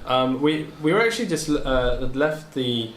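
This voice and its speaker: A man playing a tabletop game, speaking casually in character in a halting, tentative way. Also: mid-range voice, animated. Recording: echoey room, faint noise.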